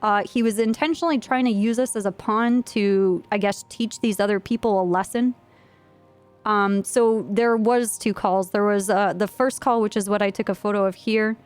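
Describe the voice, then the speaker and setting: A woman's voice: mid-range voice, steady tone, moderate pace, clean tone, soft background music. A woman leading an improvised roleplay briefing in an online game, talking casually as she explains things to a group. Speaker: streamer playing a police trooper in online roleplay, leading a meeting.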